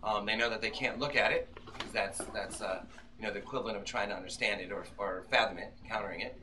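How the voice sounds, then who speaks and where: A man's voice: lowish voice, fairly even intonation, fast, background noise. Man at a bookstore reading, recorded from afar. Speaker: author reading from own novel. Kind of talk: informal talk and dramatic novel reading. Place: bookstore, indoors, small live reading. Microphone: far camera among the audience. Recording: distant camera mic, music playing. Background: music.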